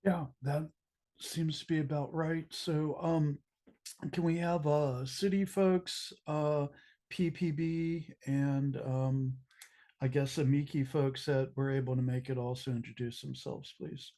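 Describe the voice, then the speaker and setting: A man with a cold and a hoarse voice chairs a public meeting over a video call, halting with many fillers. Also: a little high, steady tone, dark tone. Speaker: subcommittee co-chair.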